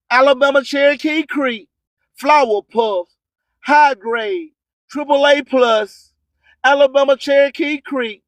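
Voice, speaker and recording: A man's voice: very high-pitched, dry recording. A man hosting a radio show, delivering promotional patter and preachy slogans, repeating phrases for emphasis. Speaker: radio host.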